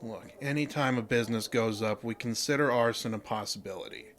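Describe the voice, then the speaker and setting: An actor playing a casual, quietly suspicious police investigator in an audio drama, questioning in an offhand, hesitant way. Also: male voice, medium pitch, a little fast, lightly acted. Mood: probing, casual.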